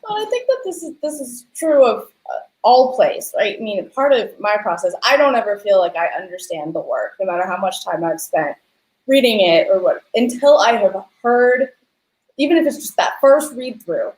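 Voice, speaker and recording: A woman's voice: mid-range voice, sing-song, moderate pace, a little mellow, reverberant, poor audio. A woman hosting a conversational interview about her field, speaking in an earnest, explanatory way. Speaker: host and theatre lighting designer.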